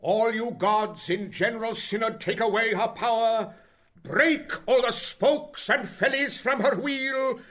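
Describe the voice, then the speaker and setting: A man playing a travelling stage actor, reciting a tragic war speech in Shakespearean verse with solemn fervour and dramatic, measured declamation. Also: extremely high pitch, fairly bright tone, full character voice. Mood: fierce, impassioned.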